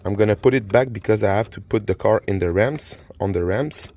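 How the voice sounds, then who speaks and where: Man, French accent, somewhat low voice, halting how-to explanation, frequent fillers and self-corrections. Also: darkish tone, slight background noise. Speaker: car repair channel host. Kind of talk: casual how-to demonstration.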